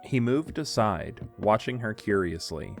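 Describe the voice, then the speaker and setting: Lowish-voiced man narrating a story. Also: expressive, measured pace, faint music behind. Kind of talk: scripted audio drama storytelling.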